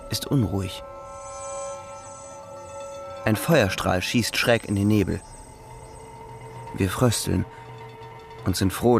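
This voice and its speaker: An actor playing a soldier who narrates the front in a radio play with grave, measured literary phrasing. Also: male voice, low voice, vocal fry, heavily acted. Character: young soldier narrating the front, sombre, watchful.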